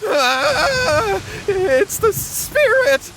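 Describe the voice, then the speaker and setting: A man's voice: extremely high pitch, very animated, very slow, very noisy, exaggerated voice. A man voicing an anxious, earnest and deferential young man in an anime game. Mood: terrified. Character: timid, anxious young man, apologetic younger-sounding brother.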